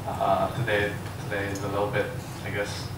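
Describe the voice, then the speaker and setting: A man's voice: on the low side, monotone, moderate pace, background noise. A man hosting an event, introducing the speaker informally and hesitantly, with many fillers. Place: large echoey lecture room. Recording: echoey room recorded from far off.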